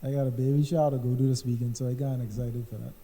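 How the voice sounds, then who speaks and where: A man's voice: medium pitch, level tone, racing, crowd noise. A man co-hosting a casual podcast chat, easygoing and playful. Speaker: podcast co-host.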